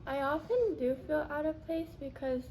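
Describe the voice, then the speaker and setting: A woman's voice: high-pitched, steady tone, moderate pace, a little mellow, a little hiss or hum, rough recording. A woman giving casual interview answers, using frequent "like" fillers.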